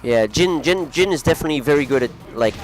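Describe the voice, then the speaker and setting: A man with a somewhat high voice commentates a tournament match in a loose, chatty way, rambling and poking fun at his own wording. English is not his first language. Music plays clearly behind him. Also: lively intonation, fast. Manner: chatty, rambling, laughs at himself. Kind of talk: casual fighting game commentary. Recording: music playing loudly behind.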